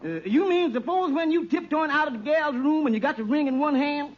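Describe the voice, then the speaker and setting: An actor playing a pompous, shifty fixer, blustery and florid, in old radio comedy style. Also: male voice, very high-pitched, lively intonation, a little fast. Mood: blustering. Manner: blustery, florid, repeating himself. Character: pompous, shifty male fixer full of big words. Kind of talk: 1940s-50s radio comedy acting. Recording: muffled old radio recording, faint noise.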